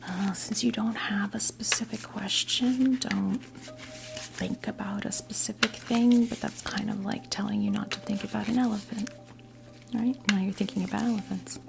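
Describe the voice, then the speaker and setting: An American woman in her fifties whispers in a soothing, halting, conversational way as she role-plays a tarot reading for ASMR. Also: medium pitch, fairly even intonation, rough recording. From New Jersey, United States. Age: 50s. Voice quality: breathy whisper. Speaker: ASMR creator role-playing a witch tarot reader. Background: soft background music.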